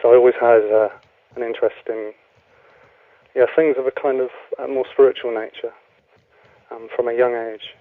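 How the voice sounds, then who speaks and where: A young man talks over a tinny, phone-like line with dry, close sound. His voice is flat and even in pitch, and he speaks in a relaxed, hesitant way, pausing often on ums as he tells his story. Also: no echo. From Wales. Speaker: guest author. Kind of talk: radio interview.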